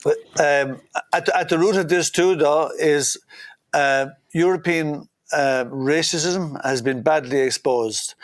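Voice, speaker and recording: A man's voice: takes it slowly, no room sound. Blunt, indignant man with a dry sound. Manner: blunt, indignant, many "uh" fillers, mocking quotes in a higher voice. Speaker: guest politician. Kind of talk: impassioned interview answers.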